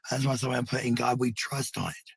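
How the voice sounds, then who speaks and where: Middle-aged man, husky, lowish pitch, dry close sound, casual rambling talk, blunt and defensive manner. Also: fairly even intonation, fast, no room sound. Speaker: parent talking about family life.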